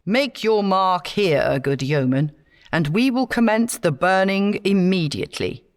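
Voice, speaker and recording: Pompous zealot witchfinder for a storybook tale, played by a woman: declamatory, slow, pitched well above the narration. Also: animated, close to an everyday voice. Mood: outraged, commanding. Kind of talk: dramatised storybook reading.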